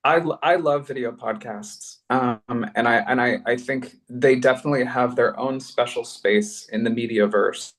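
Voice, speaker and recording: A man's voice: mid-range voice, moderate pace, few pauses. A man speaking conversationally in a panel discussion as a podcast producer, effusive and emphatic, thinking aloud in casual slang.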